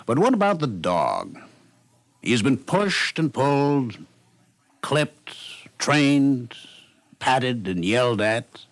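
A man narrates a scripted 1950s radio programme in an American accent. His pitch swings widely from phrase to phrase, very animated. The sound is dry, with little room around it. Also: creaky, dry recording.

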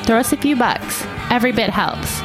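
A woman's voice: a little high, normal speed. A woman giving an earnest, upbeat donation appeal for a nonprofit radio station. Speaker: station fundraising announcer. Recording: music bed under voice.

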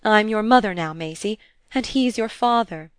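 A woman reading aloud and voicing a wrathful, scornful stepmother from period fiction in a loud, vehement manner. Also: mid-range voice, moderate pace, smooth, no echo, clean studio sound, voice pushed up, lightly acted. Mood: insistent, haughty.

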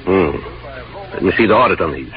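A man's voice: deep voice, expressive, brisk, a little hiss or hum. An actor playing a pompous, irascible older male boss barking clipped demands in a 1940s radio thriller. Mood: stern, impatient. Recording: dull old radio recording with music.

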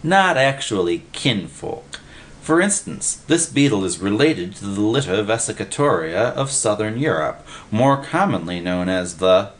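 A man's voice: medium pitch, expressive, moderate pace, pitched above the performer's own voice, close to an everyday voice. A man voicing a pompous, bossy know-it-all bum who lectures in wordy, pedantic language in a storybook reading. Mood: lecturing.

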